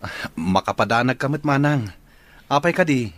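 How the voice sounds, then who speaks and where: A man's voice: mid-range voice, animated, moderate pace, a bit bright, no room sound, natural voice. An actor with a Filipino Ilocano accent playing an earnest young engaged man, wary of his sister, in a radio drama. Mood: worried.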